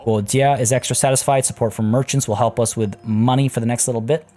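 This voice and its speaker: Mellow, slightly hoarse man narrating gameplay. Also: medium pitch, quick pace. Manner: relaxed, thinking aloud with many fillers. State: losing his voice, a bit hoarse. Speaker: let's play host. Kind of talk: casual gameplay commentary. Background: faint game music.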